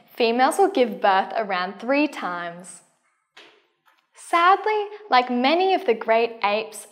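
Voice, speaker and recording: A young woman presents scripted educational science narration to camera, enunciating carefully. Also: on the high side. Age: young adult. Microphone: lapel.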